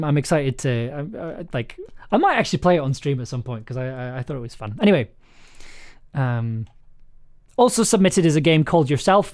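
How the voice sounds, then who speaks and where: A stream host with a mid-pitched voice talks casually to viewers. The delivery rambles and halts, often doubling back over the same words before moving on. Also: a little mellow. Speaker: stream host and audio drama writer. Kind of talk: casual live stream chat.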